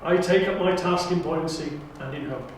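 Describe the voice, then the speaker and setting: A man's voice: on the high side, moderate pace, natural voice. A man playing a grandiose, scornful Time Lord councillor with pompous, declamatory delivery for an audio drama audition. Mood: grand, fervent. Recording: very echoey room, distant mic, faint noise.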